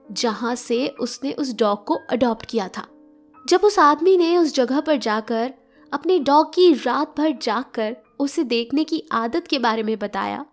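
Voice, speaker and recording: A woman telling a story as a scripted, emotional narration. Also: high voice. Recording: music bed behind narration. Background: background music.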